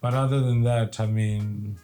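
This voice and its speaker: A man from South Africa, a music producer, answering interview questions in a laid-back, unhurried way. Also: on the low side, fairly even intonation, warm tone. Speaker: guest, producer and rapper.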